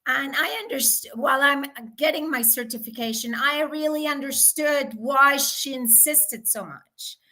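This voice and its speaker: A middle-aged woman from Colombia, a guest consultant in a live-streamed interview, speaking thoughtfully and pausing often with fillers. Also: high voice, bright, forceful tone.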